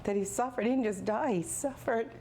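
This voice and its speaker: A woman's voice: on the high side, a little fast, darkish tone, slight background noise. A woman giving a personal account as an interviewed pet owner for a news report.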